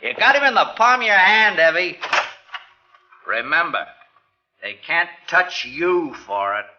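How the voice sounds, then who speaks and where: A man's voice: on the high side, big pitch swings, a little slow, noisy background. An actor playing a sly, mocking newspaper reporter who relishes his scoop, in 1940s radio drama style. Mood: menacing, smug. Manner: mocking, insinuating, chuckling. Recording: dull, lo-fi vintage radio recording.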